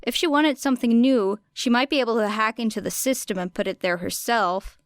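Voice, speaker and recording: Clear-voiced woman narrating fiction, dry close sound. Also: a little high, fairly quick, no echo. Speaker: audiobook narrator. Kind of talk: expressive scripted fiction narration.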